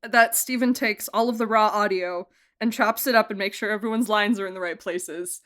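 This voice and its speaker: A young woman producer and director being interviewed, talking with enthusiasm, laughing often and speaking in quick bursts. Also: mid-range voice, steady tone, a bit bright. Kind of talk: podcast interview.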